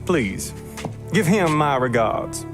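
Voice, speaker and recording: A nervous, affable small-time bootlegger from Kentucky. His voice is high and swings widely, folksy and eager to please, in a game cinematic with music. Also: big pitch swings, takes it slowly. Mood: genial. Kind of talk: cinematic video game acting. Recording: loud music behind the voice.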